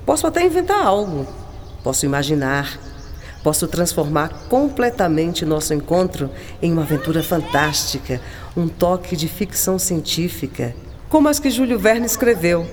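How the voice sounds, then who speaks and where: A woman's voice: fairly low pitch, sing-song, moderate pace, in a large hall, slight background noise. An actress playing a cultured, enigmatic woman writer in a Brazilian radio drama, speaking with musing, lyrical affection. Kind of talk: scripted radio drama acting.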